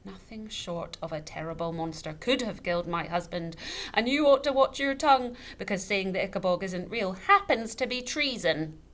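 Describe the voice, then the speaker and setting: A woman's voice: medium pitch, animated, normal speed, fairly bright tone, close to an everyday voice. A woman voicing an angry, grieving widow in a children's story, fierce and indignant. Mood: cold fury. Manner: cold, fierce, rising to screeching. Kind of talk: children's audiobook character voice. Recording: noticeable background noise.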